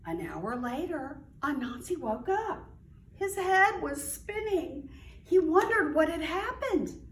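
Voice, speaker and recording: A woman reading a children's story aloud in an expressive way, with dramatic pauses and drawn-out key words. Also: high-pitched. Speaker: library storyteller reading a picture book to children. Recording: roomy, distant mic with faint noise.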